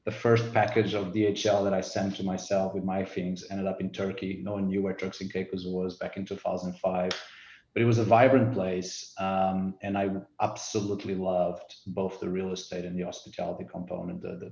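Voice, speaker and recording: A man's voice: low voice, darkish tone, echoey room, lo-fi sound, slight background noise. A Portuguese man in his forties with a Portuguese accent, answering interview questions thoughtfully with frequent fillers and restarts. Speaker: resort CEO guest.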